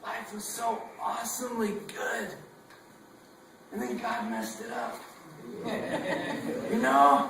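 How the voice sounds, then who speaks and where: A man's voice: sits high, a bit bright, slight background noise. A man with a Texan accent, a visiting missionary preacher who tells stories casually with lots of fillers and self-deprecating jokes. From Texas. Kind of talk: sermon with a sung opening. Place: church sanctuary. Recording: echoey church room from far off.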